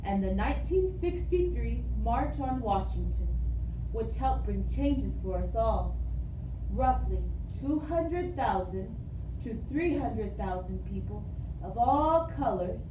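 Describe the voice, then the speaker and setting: A woman's voice: on the high side, expressive, slow pace. A woman voicing a dignified male civil rights leader with formal, recited delivery in an amateur educational puppet show. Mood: dignified, proud. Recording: echoey, distant, muffled, noisy room recording.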